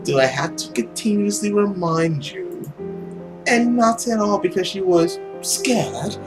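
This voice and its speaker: A man voicing a smug, scornful nobleman villain in a visual novel, drawling and sarcastic with theatrical pauses. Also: high voice, over music. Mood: mocking, condescending. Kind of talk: visual novel character acting.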